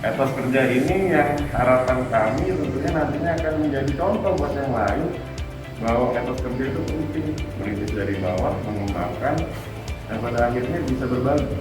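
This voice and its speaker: A man from Madura, explaining his views as an interview guest in a measured, playful way. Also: mid-range voice. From Madura, Indonesia. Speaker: interview guest, community figure. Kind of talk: conversational interview. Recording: echoey room heard from a distance, loud music behind.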